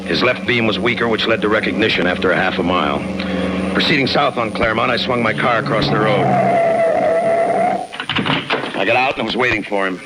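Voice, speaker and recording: An actor playing a hard-boiled, laconic homicide lieutenant with a short temper, terse and deadpan, in 1950s radio crime drama style. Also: male voice, lowish voice, fast talker, very noisy, lightly acted. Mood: dry narration. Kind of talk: 1950s radio crime drama acting with first-person narration. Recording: old radio broadcast with music behind.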